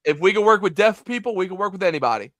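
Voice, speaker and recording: A man's voice: high voice, animated, rapid-fire, fairly bright tone, slight background noise. A young-sounding man, a guest wrestler talking eagerly and rambling with plenty of "like". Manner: eager, rambling, heavy filler. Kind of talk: casual interview chat.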